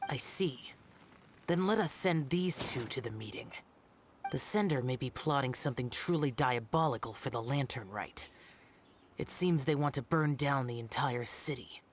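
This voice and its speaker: A man's voice: medium pitch, lively intonation, normal speed, a little hiss or hum, natural voice. Man voicing aloof, stern immortal warrior. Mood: grave. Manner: formal, curt, solemn. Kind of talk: video game voice acting. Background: game music.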